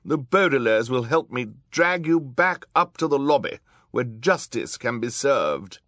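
A man's voice: mid-range voice, big pitch swings, a little slow, fairly bright tone, no echo, clean studio sound, voice pushed up. A man voicing an earnest, distressed woman judge, indignant and pleading, in a storybook reading.

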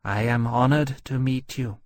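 A man voicing a young male dragon who is earnest, gentle, curious and innocently polite, in a storybook narration. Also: mid-range voice, steady tone, unhurried, close to an everyday voice. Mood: gentle interest.

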